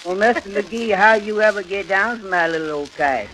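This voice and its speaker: A woman playing a deferential, wide-eyed, folksy listener with a slow drawl in 1930s radio comedy. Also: medium pitch, fairly bright tone, lightly acted. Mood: awed, weary. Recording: very noisy old radio recording.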